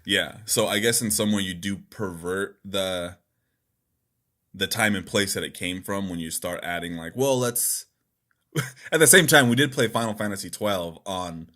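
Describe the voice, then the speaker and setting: Low-voiced man in casual podcast chat. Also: measured pace, sharp tone. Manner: informal, profane, rambling. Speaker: podcast co-host.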